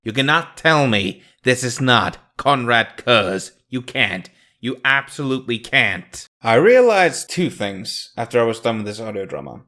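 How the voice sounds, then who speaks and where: A man's voice: medium pitch, sing-song, a little slow, pushed and bright. A man hosting a video review, giving casual scripted commentary in a jokey, emphatic way.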